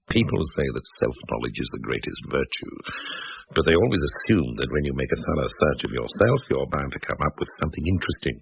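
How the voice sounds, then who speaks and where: A man's voice: very deep voice, very animated, fairly quick, creaky, dull audio, a little hiss or hum, heavily acted. An actor playing a cynical, self-deprecating, bitterly witty man in a radio drama, speaking with languid eloquence. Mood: bitter musing.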